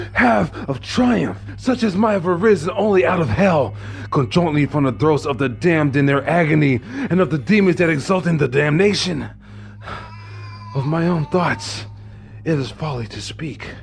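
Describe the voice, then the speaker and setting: A man's voice: on the high side, animated, normal speed, gravelly. An actor playing a deranged, smug murderer who confesses his crime in formal, theatrical horror narration. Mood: frenzied terror. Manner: theatrical, formal, brooding, drops into hushed whispers. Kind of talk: dramatic horror narration of a classic gothic tale.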